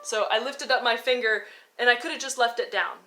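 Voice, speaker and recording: A woman's voice: on the high side, steady tone, fairly quick, over loud music. A woman giving an instructional violin lesson, coaching informally and encouragingly as she explains and counts out fingerings. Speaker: violin teacher. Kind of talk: instructional music lesson, talking and demonstrating.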